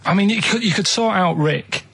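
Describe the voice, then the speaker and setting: A man's voice: high-pitched, moderate pace, gravelly, fairly bright tone, slightly rough. A man as a radio show sidekick, rambling earnestly in casual chat and checking in after each point. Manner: earnest, rambling, tags phrases with 'right'. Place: radio studio.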